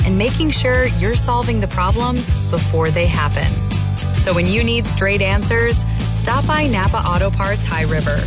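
A woman reading a radio ad in an earnest, steady, sincere manner. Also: medium pitch, moderate pace. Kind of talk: scripted radio ad. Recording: voice over loud music bed.

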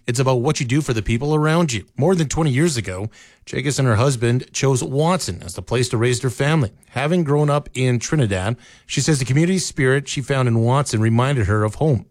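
A man reading scripted local news in a steady newsreader manner, from a radio studio. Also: medium pitch, a little fast, dry recording. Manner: steady, even newsreader delivery. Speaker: radio newscaster.

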